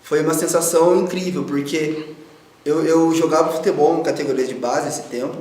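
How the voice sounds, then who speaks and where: A man's voice: a little high, fairly even intonation, moderate pace, a little mellow. A Brazilian man in his twenties answering interview questions casually, reflective and searching for words. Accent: Brazilian Portuguese. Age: 20s. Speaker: guest. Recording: very echoey room.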